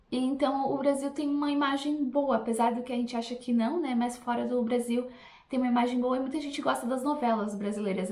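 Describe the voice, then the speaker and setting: A woman's voice: fairly high pitch, a little mellow, roomy sound. A young Brazilian woman vlogger with a Brazilian Portuguese accent, chatting reflectively and directly to her viewers. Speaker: language teacher vlogger. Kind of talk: casual talk to camera.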